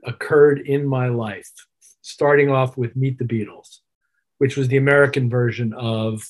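A man's voice: medium pitch, fairly even intonation, normal speed, poor audio. A man speaking as a musician guest in an interview, reflective and conversational, repeating phrases for emphasis. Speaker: interview guest, keyboard player.

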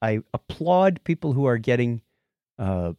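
A man's voice: medium pitch, sing-song, a little slow, mellow, clear, resonant tone, dry recording. A man hosting a podcast in a casual, playful and enthusiastic chatting style. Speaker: podcast host.

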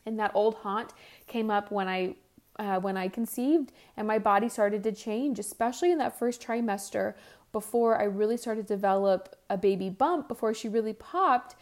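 Woman, 30s, podcast host, candid, chatty, self-deprecating, hesitant restarts, mid-range pitch. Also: moderate pace. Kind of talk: solo listener Q&A podcast.

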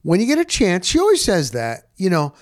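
A middle-aged man telling a personal story casually as a broadcast host, acting out the conversations he recounts in an animated way. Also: sits high, fairly quick, no room sound, studio quality.

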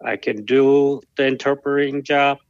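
Slow halting man, Korean native. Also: mid-range voice, fairly even intonation, no room sound, highs cut off. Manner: halting, slow, many pauses and fillers. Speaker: guest. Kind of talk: podcast interview.

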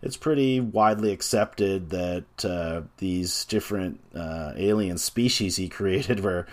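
A man, around forty, speaks in a somewhat low register as he is interviewed about his game design work. He is thoughtful and unhurried in his reasoning, often pausing and filling the gaps with "um" and "you know" as he works his way toward each point. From North America. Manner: reflective, hesitant, full of pauses and fillers. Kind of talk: casual interview talk.

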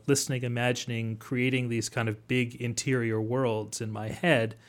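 A man speaking as an academic guest in an interview, explaining at length in a halting, thoughtful way. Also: medium pitch. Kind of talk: interview conversation.

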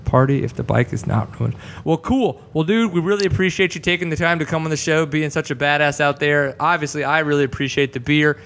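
A man's voice: fairly high pitch, fairly quick, few pauses, fairly bright tone. Joking laid-back Texan man host. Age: 30s. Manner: laid-back, jokey, swears casually. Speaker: podcast host. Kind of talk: casual chat.

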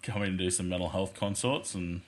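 A man with a thick Australian accent, hosting a podcast in a relaxed, chatty way. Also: low-pitched, a little fast, a little hiss or hum. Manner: laid-back, chatty, swears casually. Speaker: podcast host. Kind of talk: casual podcast interview.

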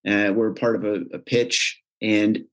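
A man from the States with a somewhat low voice talks easily and conversationally, sprinkling in "you know" as he explains. He sounds relaxed and at home in a business interview. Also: a little slow, rough recording. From United States. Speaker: company executive, guest. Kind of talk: interview answers.

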